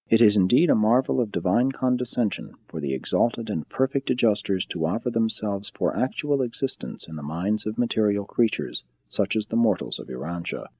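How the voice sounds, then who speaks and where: A man reading a spiritual audiobook in a measured, solemn and formal manner. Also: lowish voice, darkish tone, slightly rough, no room sound, dull audio. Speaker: audiobook narrator. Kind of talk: scripted audiobook narration of a spiritual text.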